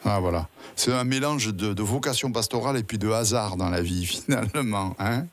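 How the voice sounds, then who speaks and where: A man's voice: on the low side, moderate pace. A man hosting a radio interview, asking friendly leading questions and restating his guest's answers. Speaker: radio host.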